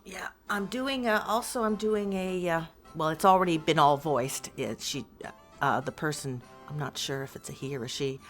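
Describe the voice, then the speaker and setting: A woman's voice: sits low, moderate pace, hardly any pauses, a bit bright, soft background music. A woman being interviewed as a voice actor, chatting casually in a hesitant, rambling way, as if thinking aloud.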